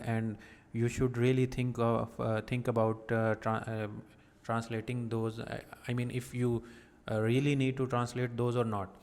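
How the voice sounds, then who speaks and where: Halting man in a reverberant room. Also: mid-range voice, darkish tone, smooth. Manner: halting, methodical explanation with frequent pauses. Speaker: software tutorial presenter. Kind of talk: screen-recorded software walkthrough.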